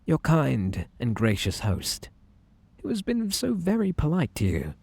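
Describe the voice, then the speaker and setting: Guy doing a creepy possessive british bunny boy for asmr roleplay, slow breathy delivery, super polite but menacing, really close dry sound. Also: fairly high pitch, wildly swooping pitch, darkish tone, dry recording, exaggerated voice. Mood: wounded, unstable. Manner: theatrically polite, coaxing, drawn-out delivery with menacing undertone. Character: possessive, unhinged magical bunny boy tea-party host.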